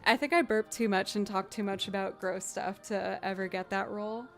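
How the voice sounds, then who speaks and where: Chatty low-voiced woman streamer. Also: fairly even intonation, moderate pace, gravelly, soft background music. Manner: chatty, rambling, self-deprecating. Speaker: streamer and musician. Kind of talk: casual chat with live chat.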